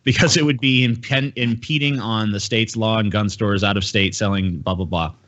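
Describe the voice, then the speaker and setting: A man's voice: on the low side, fairly even intonation, moderate pace, fairly bright tone. A man hosting a live podcast, casually reading out viewer messages and chatting about them. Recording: slightly dull, lo-fi stream audio.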